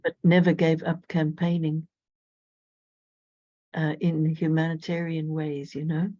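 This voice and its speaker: A woman speaking as an astrologer guest in an interview, thoughtful and measured. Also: low voice, flat delivery, mellow. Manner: thoughtful, measured, with frequent "you know". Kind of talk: reflective conversational interview. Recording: dull lo-fi audio.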